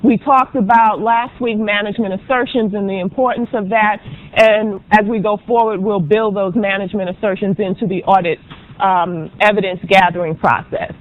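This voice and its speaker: Woman professor, loud and brisk, chatty classroom lecture, muffled lo-fi recording. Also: mid-range voice, fairly even intonation. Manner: loud, chatty, brisk teacher with frequent ums. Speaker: auditing professor.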